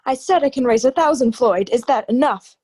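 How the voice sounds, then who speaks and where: A woman's voice: a little high, expressive, a little fast, dark tone, husky. A woman playing a cornered, desperate wife with a secret past in a radio crime drama, pleading and defiant. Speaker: actor. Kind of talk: old-time radio crime drama acting.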